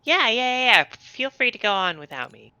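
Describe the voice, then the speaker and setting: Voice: mid-range voice, sing-song, normal speed, bright, forceful tone, clean tone, no room sound, muffled recording. A co-host on a casual gaming stream, trading dry, teasing banter.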